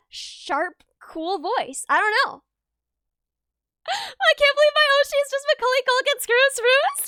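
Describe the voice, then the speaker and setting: A young woman streaming live, chatting casually with viewers in a rambling, laughing way, her voice a little raw and rough. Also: very high-pitched, pushed and bright, no room sound, clean studio sound. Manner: rambling, chatty, laughs often, reads chat aloud.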